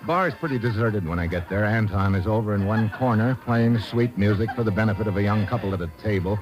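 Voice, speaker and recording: A man's voice: fairly low pitch, brisk, dull audio, natural voice. A man playing a tough, wisecracking American investigator in an old radio drama, speaking in a clipped, hard-boiled way. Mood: wry, suspicious. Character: tough wisecracking American male investigator narrating his own case. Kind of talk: 1940s-50s American radio detective acting, first-person narration. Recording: old radio recording with music behind.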